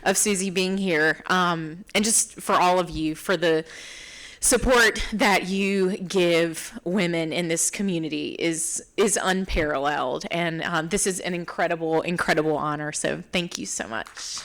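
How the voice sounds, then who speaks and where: A woman accepting an award and thanking the audience in a halting way, with fillers between her words. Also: on the low side, a bit bright. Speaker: award recipient.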